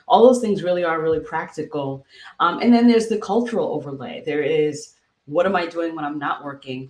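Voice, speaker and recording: A podcast co-host and real estate agent talking through practical questions about where to settle, in a thoughtful, conversational way. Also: female voice, deep voice, echoey room. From moved to Boston.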